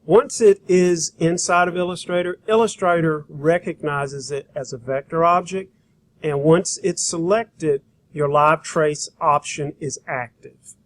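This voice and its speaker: A man giving an informal, hands-on software demonstration as an instructor, talking in a casual, rambling, folksy way. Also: on the high side, steady tone, a little slow. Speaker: graphic design instructor. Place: school computer lab.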